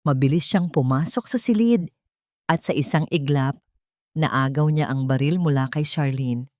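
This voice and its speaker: A Filipino woman reads a dramatic audiobook story in the first person. Her voice sits on the low side, and the sound is dry, with little room around it. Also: normal speed, a little mellow, no echo.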